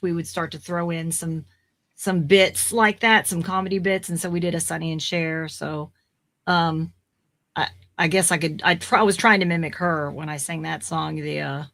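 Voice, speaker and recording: A woman in her forties, a voice actor guest on a podcast, chatting in a rambling way with fillers and restarts, her voice slightly raspy. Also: on the low side, fairly even intonation, normal speed, fairly bright tone. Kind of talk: casual podcast interview.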